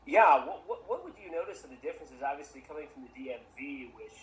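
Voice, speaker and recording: A man's voice: a little high, animated, quick pace, fairly bright tone. A man hosting a podcast and interviewing a former student, encouraging him and asking long, winding questions. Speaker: podcast host, former teacher of the guest. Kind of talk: casual interview. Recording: echoey room with faint background noise.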